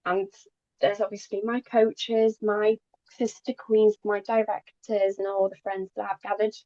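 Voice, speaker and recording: Podcast guest: young woman, Polish native speaker, casual and halting delivery, mid pitch, dry recording. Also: little pitch movement, mellow, no echo, dull audio. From Poland, moved to England at ten. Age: young adult. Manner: halting, occasional stumbles over words. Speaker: podcast guest, pageant queen. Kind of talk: casual interview chat.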